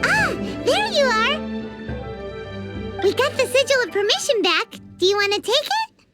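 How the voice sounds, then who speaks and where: A woman voicing a small, chirpy, childlike fairy companion with a squeaky voice in a video game. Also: very animated, a little slow, full character voice. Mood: chirpy, chatty. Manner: refers to self in third person, exclamatory. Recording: music behind the voice.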